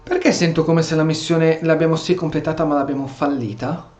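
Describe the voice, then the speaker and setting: A man's voice: on the high side, steady tone, fast, a little mellow. Italian man, echoey game commentary. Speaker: gaming streamer. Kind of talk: casual let's play commentary.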